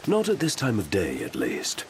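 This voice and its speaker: An actor playing a composed, dry-witted young adviser in a video game, speaking formally and with measured understatement. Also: male voice, lowish voice, wildly swooping pitch, background noise. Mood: calm. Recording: loud music behind. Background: loud game music.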